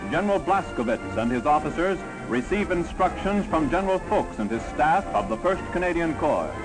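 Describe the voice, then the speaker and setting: A man's voice: a little high, sing-song, normal speed, poor audio. A man narrating a scripted wartime newsreel from the 1940s in a dramatic, triumphal, declamatory manner. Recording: vintage 1940s newsreel soundtrack. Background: newsreel music.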